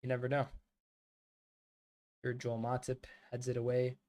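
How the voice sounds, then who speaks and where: Man, mid-pitched voice, rambling live football chat, lots of "like" fillers. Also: monotone, unhurried, darkish tone. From United States. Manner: casual, rambling, many "like" fillers, calls viewers mate and bro. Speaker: streamer hosting a football watchalong. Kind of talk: live match watchalong commentary and chat.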